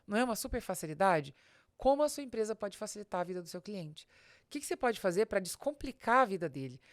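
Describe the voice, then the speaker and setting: A woman's voice: medium pitch, big pitch swings, fast, no room sound, studio quality. A Brazilian woman giving an explanatory business podcast talk, speaking straight to the listener in a didactic way with rhetorical questions. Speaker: business advisor and podcast host.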